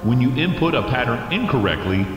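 A man playing a formal factory test announcer, giving measured, precise scripted instructions in a video game. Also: mid-range voice, sing-song, lightly acted. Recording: echoey hall reverb, music behind.

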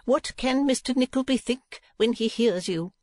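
A narrator voicing a haughty, pouting middle-aged businesswoman wife, imperious and sharp-tongued, in an audiobook. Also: mid-range voice, dry recording, voice pushed up, lightly acted. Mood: indignant. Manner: imperious, brisk, put-upon.

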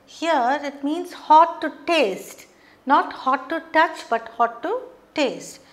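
Lady teaching at a whiteboard, high voice, talks slow, lots of okay. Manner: explains slowly, checks with frequent okay. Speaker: English teacher. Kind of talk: whiteboard English lesson. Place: studio classroom with whiteboard.

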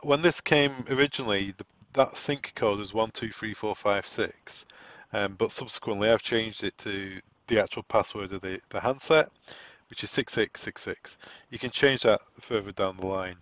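A man giving an informal software setup walk-through, explaining conversationally and thinking aloud as he goes. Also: on the low side, normal speed, hardly any pauses, dry recording. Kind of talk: informal software tutorial narration. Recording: dull, lo-fi, dry close recording.

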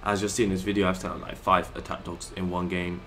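A young man talks loosely and casually, swearing as he explains his gameplay. His voice sits low and stays flat, with little rise or fall. A faint hiss runs under him. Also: quick pace. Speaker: gaming YouTuber. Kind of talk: casual voice-over commentary.

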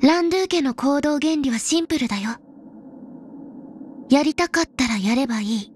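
A woman voicing an aloof young female snowfield explorer in a game, speaking low-key and softly deadpan. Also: moderate pace, slight background noise, lightly acted. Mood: laconic. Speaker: game character voice. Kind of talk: game voice lines, Japanese dub.

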